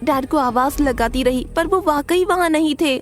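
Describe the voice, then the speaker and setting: Animated story lead: high-voiced, guarded teen schoolgirl played by a woman, dramatic first-person narration, light music underneath. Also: normal speed, lightly acted. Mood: narrating, confiding. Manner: expressive, dramatic. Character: guarded, feisty teenage schoolgirl narrating her own story.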